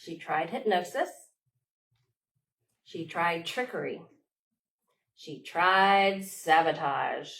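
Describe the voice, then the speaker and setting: Slow-paced woman reading a children's storytime. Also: fairly low pitch, vocal fry. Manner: expressive, simple child-directed delivery with dramatic pauses. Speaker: children's librarian leading storytime. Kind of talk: read-aloud storytime for young children.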